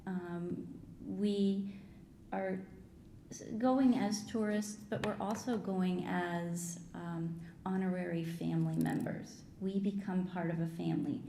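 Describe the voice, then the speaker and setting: A woman with a fairly low, mellow voice presents in a measured, explanatory way, like a teacher at a meeting. The room is echoey, with faint noise. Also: fairly even intonation, vocal fry. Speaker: high school Spanish teacher. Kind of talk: prepared public presentation. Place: public school committee meeting. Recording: roomy meeting hall, faint rumbling noise.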